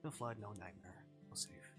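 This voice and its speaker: A man voicing a gentle, teasing and soothing young male companion from a visual novel, with music behind him. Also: on the low side, normal speed, voice pushed down, lightly acted.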